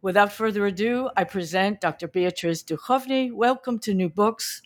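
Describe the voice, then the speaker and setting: A woman's voice: medium pitch, moderate pace. A woman hosting a podcast, reading a careful scripted introduction and then asking questions in a looser way. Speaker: podcast host.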